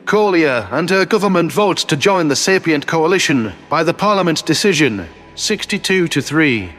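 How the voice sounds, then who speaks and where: A man voicing a calm, principled alien prime minister giving a measured formal speech in a sci-fi audio story. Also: on the high side, soft background music, pitched below the performer's own voice. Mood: dignified, sincere.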